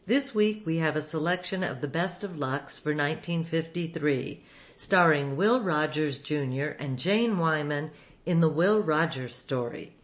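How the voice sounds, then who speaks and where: A woman giving a scripted introduction as the host of a show. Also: low voice. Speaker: podcast host.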